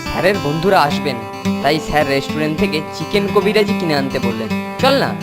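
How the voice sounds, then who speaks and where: An actor voicing an excitable, cheeky young schoolboy in a children's cartoon, exclamatory and expressive, with loud music behind. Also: medium pitch, a little fast, natural voice. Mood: cheerful, eager. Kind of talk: Bengali children's cartoon dubbing.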